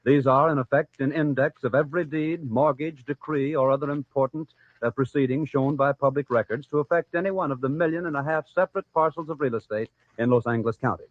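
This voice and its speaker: An American man delivers scripted commercial narration in a formal, measured 1940s radio manner. His voice is mid-pitched and very dry, on a tinny narrow-band vintage recording. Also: no room sound. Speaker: program announcer and sponsor spokesman.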